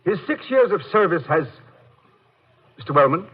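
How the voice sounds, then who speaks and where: Formal committee chairman, courteous, animated delivery, slightly high voice, vintage radio acting. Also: normal speed, breathy edge, exaggerated voice. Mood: businesslike. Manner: formal, orotund, orderly. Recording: dull, muffled old radio recording.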